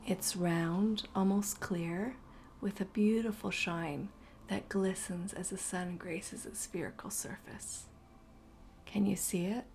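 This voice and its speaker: Low, soft creaky woman guiding meditation. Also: slight background noise. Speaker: meditation guide. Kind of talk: guided meditation narration.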